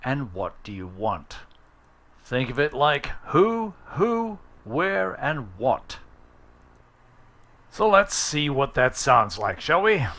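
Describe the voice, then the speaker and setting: A man's voice: mid-range voice, big pitch swings, slow, pushed and bright. A man narrating a how-to guide in a casual but scripted, plain-spoken and instructive way. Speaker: tutorial presenter.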